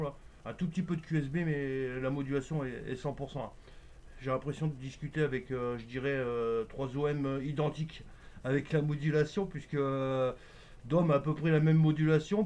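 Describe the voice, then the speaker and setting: Fast-talking man on amateur radio. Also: fairly high pitch, a little mellow. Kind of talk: casual radio contact chat with procedural jargon.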